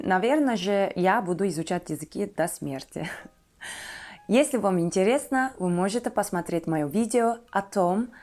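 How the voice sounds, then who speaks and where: Turkish girl in her 20s vlogging about herself, turkish accent, medium pitch, kinda halting and careful. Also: darkish tone. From Turkey. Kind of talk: personal talk to viewers about herself.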